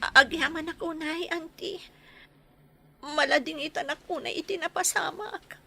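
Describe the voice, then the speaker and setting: A woman playing a wronged young village daughter in a radio drama, tearful, frightened and halting over music. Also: very high-pitched, vocal fry, sharp tone, heavily acted. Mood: subdued, evasive. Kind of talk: radio drama acting in Ilocano. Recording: music under the voice.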